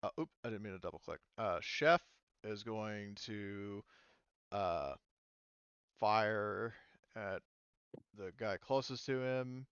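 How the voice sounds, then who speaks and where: Guy game mastering a tabletop rpg, smooth low voice, thinks out loud, kinda muffled audio. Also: dry recording. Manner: casual, halting, swears, thinking aloud. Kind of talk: running a tabletop roleplaying game, improvised narration and rules talk.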